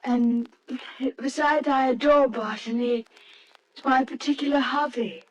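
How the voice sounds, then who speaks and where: An actress playing a shy, earnest, lonely bookshop woman in an old-time radio drama, with a gentle, hesitant way of talking. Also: high voice, steady tone, a little slow, dull audio, background noise. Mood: admiring.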